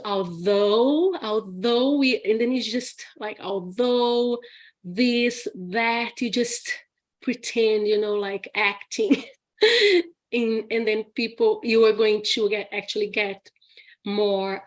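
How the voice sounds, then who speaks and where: A woman speaking English with a Brazilian accent, answering viewer questions as a teacher at an easy, slow pace. Her voice sits at an ordinary middle pitch. She talks in a chatty way, laughs often and keeps checking in with "okay?". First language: Portuguese. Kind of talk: live Q&A session.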